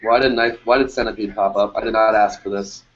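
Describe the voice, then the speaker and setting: A man's voice: on the low side, steady tone, brisk. A man in his twenties, still a college student, chatting easily and rambling through his theories about music. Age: 20s. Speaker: music producer. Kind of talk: casual podcast chat. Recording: dull lo-fi stream audio.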